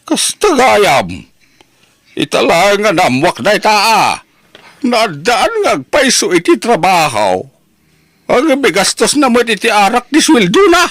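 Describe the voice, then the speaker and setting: Man acting animated, high-voiced Ilocano village uncle. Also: unhurried, dry recording, heavily acted. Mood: disapproving. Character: village uncle, helpful but easily scandalised elder. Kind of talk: amateur Ilocano radio-style drama acting.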